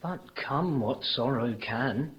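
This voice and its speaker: Actor as a slow, ardent young lover. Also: male voice, medium pitch, lightly acted. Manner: measured, romantic verse declamation. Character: ardent, lovestruck young man. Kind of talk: 1950s radio Shakespeare acting. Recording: muffled, slightly noisy old radio recording.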